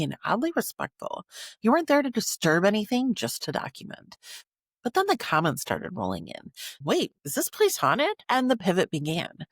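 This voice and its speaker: A woman with a fairly low voice narrates a scripted documentary podcast. Her pitch moves expressively as she lands key words with deliberate pauses, and the sound is close and dry. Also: dry recording. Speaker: podcast host.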